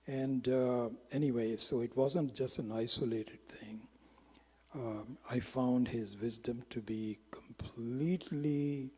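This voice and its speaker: A man whose first language is Hindi, giving an informal spiritual lecture on Vedanta in a reflective, unhurried way, with frequent pauses and restarts. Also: mid-range voice, darkish tone, slightly rough, in a large hall. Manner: reflective and unhurried, pausing often with restarts and fillers. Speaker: Vedanta teacher.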